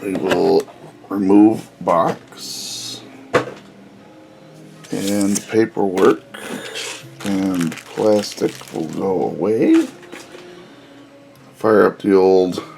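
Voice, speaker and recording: A man with a scratchy voice giving a relaxed, casual hands-on review of a cheap car stereo, explaining each step with plenty of pauses and "uh"s. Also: lowish voice, gravelly. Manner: relaxed, unhurried explaining with frequent "uh"s. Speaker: car audio hobbyist reviewer.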